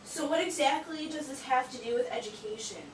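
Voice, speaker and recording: A woman voicing a cheeky, naive, excitable sock puppet pupil in an amateur educational puppet show, with exaggerated, teasing delivery. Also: high voice, a little fast, natural voice. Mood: teasing, puzzled. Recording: echoey room, distant mic, hissy noise.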